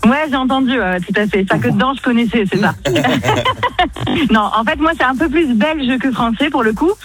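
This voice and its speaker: Husky, cheerful woman calling in. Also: fairly high pitch, moderate pace, soft background music. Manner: chatty, cheerful, laughs easily. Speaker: phone-in caller, night-shift railway worker. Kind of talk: casual chat on a radio phone-in. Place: parked outside at a car park entrance, on a phone. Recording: phone call into a radio show.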